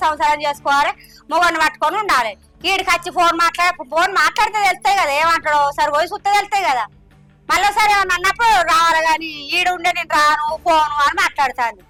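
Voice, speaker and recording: A woman's voice: extremely high pitch, fairly even intonation, fast talker, sharp tone, soft background music, poor audio. A woman playing a middle-aged village mother who lectures her daughter, speaking. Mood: scolding. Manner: lecturing, insistent.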